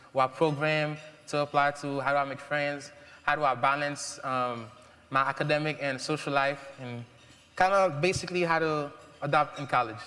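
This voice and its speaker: A man's voice: fairly high pitch, bright, forceful tone. A young man from Haiti speaking earnestly on a panel, with many ums and uhs. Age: young adult. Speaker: mentee. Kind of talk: prepared speech on a panel. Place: large event hall. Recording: long hall reverb.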